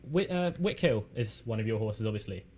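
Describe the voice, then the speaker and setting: A man's voice: lowish voice, expressive, a little fast, darkish tone. A man putting questions as an interviewer in an informal sports interview.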